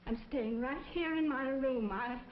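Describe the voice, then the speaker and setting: A proud, ailing woman, high-voiced, stubborn and plaintive. The acting is 1940s film melodrama, heard on a dull, echoey old soundtrack. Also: unhurried, slight background noise. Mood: weary, defiant. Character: sick, weary, proud and lonely woman.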